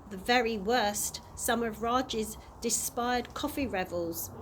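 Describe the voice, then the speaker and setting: A woman reading a storybook aloud to children in an animated way, with occasional small stumbles. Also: a little high, poor audio. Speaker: early years practitioner reading to children. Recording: audible background noise.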